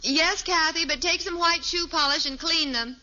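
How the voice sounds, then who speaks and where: A woman playing a patient, practical, gently firm middle-aged mother in a 1950s radio sitcom. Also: very high voice, normal speed, full character voice. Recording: muffled, hissy old radio recording.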